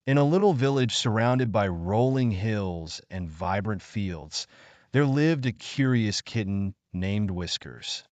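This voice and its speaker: A man's voice: on the low side, no room sound. A man narrating a scripted children's bedtime story as a storyteller.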